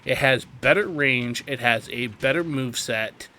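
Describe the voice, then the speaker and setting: A man's voice: mid-range voice, measured pace, sharp tone, a little hiss or hum. A man giving a casual, instructive video game tutorial in an opinionated, emphatic way. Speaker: video game guide host.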